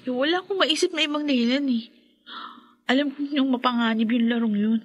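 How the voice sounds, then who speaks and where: A woman's voice: high voice, brisk, lo-fi sound. An actress playing a distraught, accusing young woman in a Filipino radio horror drama, insistent and emotional. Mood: accusing, upset.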